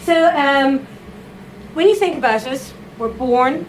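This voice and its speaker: A woman giving a chatty, informal talk to a live audience, full of asides, fillers and quick "okay?" checks. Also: high-pitched, low-quality recording. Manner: chatty, animated, with frequent fillers and tag questions. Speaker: writer giving a reading. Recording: audible background noise.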